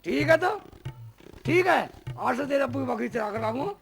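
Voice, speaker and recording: A man's voice: extremely high pitch, animated, normal speed, gravelly, faint music behind, full character voice. A man playing a boastful, foolish village husband in a broad rustic comedy skit, bickering and throwing insults. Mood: grudging, boastful. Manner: blustering, bickering, insult-laden. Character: loud, boastful, foolish village husband, quick to take offence.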